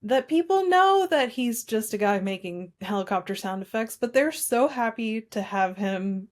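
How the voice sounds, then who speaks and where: A woman co-hosting a casual film discussion, recapping in an informal, conversational way with animated retelling. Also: on the high side, moderate pace. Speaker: podcast co-host.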